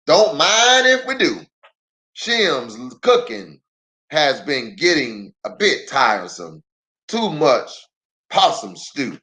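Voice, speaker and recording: A man's voice: on the high side, very animated, takes it slowly, pushed and bright, heavily acted. A man voicing a friendly, folksy country neighbor in a children's storybook, with hearty backwoods phrasing. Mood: neighborly.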